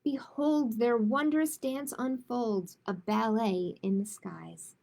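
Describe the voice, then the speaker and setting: A woman speaking as a children's storytime host, in a measured manner suited to picture books and simple chat with families. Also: fairly high pitch, gravelly, darkish tone. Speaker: children's librarian hosting storytime. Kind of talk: picture book read-aloud, then casual announcements.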